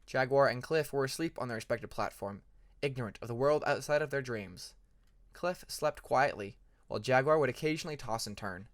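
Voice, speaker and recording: A man's voice: medium pitch, fairly quick, dry recording, clean studio sound. A man reading scripted fantasy fiction as an audiobook narrator, in an earnest, dramatic storytelling manner.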